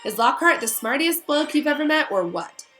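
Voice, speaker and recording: A woman voicing an excitable, blunt, suspicious schoolboy, indignant and informal, in a storybook read-aloud. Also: high voice, normal speed, soft background music, voice pushed up, heavily acted. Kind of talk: children's novel read-aloud.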